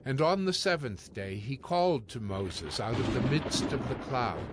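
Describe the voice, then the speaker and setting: A man narrating scripture from a script in a solemn, measured storytelling manner. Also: mid-range voice, animated, very noisy. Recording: prominent music bed behind narration.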